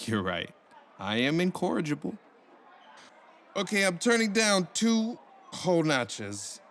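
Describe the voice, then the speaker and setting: A man's voice: high-pitched, very animated, a little slow, fairly bright tone, full character voice. An actor playing a cheerful male counselor who talks in a playful, bubbly way full of puns, in a comedic audio drama.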